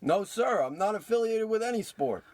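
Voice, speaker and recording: A man's voice: high voice, expressive, normal speed. A man playing a hapless small-time crook, a self-pitying tough guy, with melodramatic wisecracks in a parody of old radio drama. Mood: puzzled.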